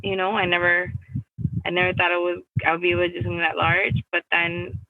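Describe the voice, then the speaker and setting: A woman's voice: fairly low pitch, completely flat delivery, quick pace, no echo, narrow, tinny audio. A young adult woman from Miami, answering interview questions in a casual, rambling way with many restarts and "like" fillers. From United States (Miami). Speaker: guest artist.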